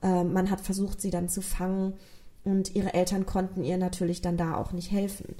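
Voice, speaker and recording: A woman's voice: lowish voice, little pitch movement, normal speed, mellow. A woman from Rhineland-Palatinate with a regional German accent, earnestly retelling a true crime case as a podcast host and speaking directly to her listeners.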